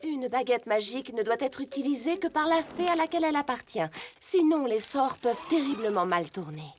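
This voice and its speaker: A woman's voice: high voice, lively intonation, a little fast, a little hiss or hum. A sing-song fairy queen. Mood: fretful. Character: kindly fairy queen, gentle and fussy. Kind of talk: dubbed cartoon acting.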